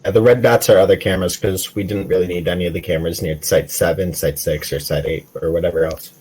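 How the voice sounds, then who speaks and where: A man's voice: sits low, fairly even intonation, fast talker, a little mellow, breathy edge, low-quality recording. A man chatting casually and making plans as a player in a tabletop roleplaying game. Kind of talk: casual game planning chat.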